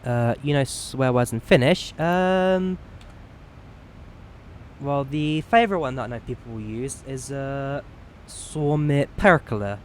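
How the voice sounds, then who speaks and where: A man's voice: medium pitch, slow pace, a little hiss or hum. A man giving casual live stream commentary while flying a simulator, chatty and self-deprecating.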